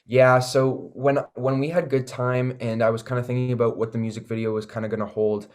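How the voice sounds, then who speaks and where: A man's voice: medium pitch, little pitch movement, fairly quick, a little mellow. A young man with a Canadian accent chatting casually as an interview guest, laid-back and slangy. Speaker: singer-songwriter guest.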